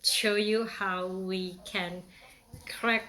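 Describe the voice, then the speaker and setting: A woman's voice: medium pitch, steady tone, very slow, bright, forceful tone, slight background noise. A young woman from Thailand with a Thai accent, chatting playfully through a casual how-to demonstration with many fillers. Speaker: vlogger.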